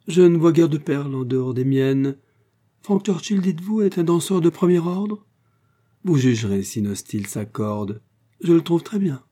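A man's voice: fairly high pitch, lively intonation, normal speed, warm tone, voice pushed up. A man reading aloud, voicing a vain young bride who talks in a showy, self-satisfied way.

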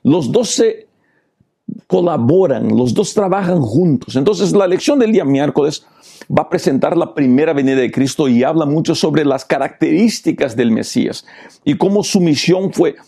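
Gravelly pastor. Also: male voice, on the high side, lively intonation, moderate pace, mellow. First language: Portuguese. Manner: preachy, repeated rhetorical questions. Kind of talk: Bible lesson commentary.

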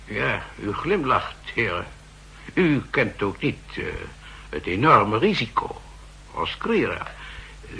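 An actor playing a fussy, insistent central-bank expert who explains pedantically, in 1960s radio drama style. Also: male voice, fairly low pitch, gravelly, sharp tone, heavily acted. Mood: urgent, emphatic. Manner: pedantic, emphatic, hesitating and repeating himself. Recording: noisy vintage 1960s radio recording.

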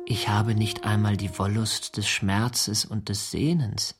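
An actor playing an anguished, yearning young letter writer in a radio play, reciting poetically and broodingly. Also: male voice, lowish voice, flat delivery, takes it slowly. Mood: brooding, desolate. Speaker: actor in an audio drama. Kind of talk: literary monologue over music. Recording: radio play with brass music behind. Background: brass and horn music.

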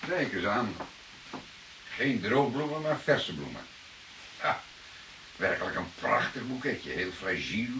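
Older dutch detective in a radio play, sly questioning, very lively intonation, bit of hiss. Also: male voice, medium pitch. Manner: deliberate, ironic, insinuating questioning. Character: shrewd, probing older male police detective. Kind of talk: Dutch radio drama acting. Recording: hissy radio play recording.

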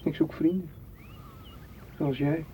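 A man's voice: a little high, steady tone, takes it slowly, warm tone. An actor playing a possessive, wooing man in a radio drama, pressing and reproachful in manner. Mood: hurt. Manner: insistent, reproachful. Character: possessive, wooing suitor seeking warmth and closeness. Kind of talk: literary radio drama acting. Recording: dull, noisy radio play recording.

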